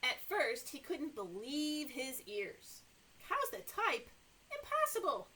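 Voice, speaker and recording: Animated middle-aged woman reading to toddlers. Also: high voice, slow pace. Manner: animated, exaggerated sing-song delivery for small children. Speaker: children's library story time host. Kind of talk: read-aloud story time for toddlers, talking to young children. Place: small indoor room with sofa and toys.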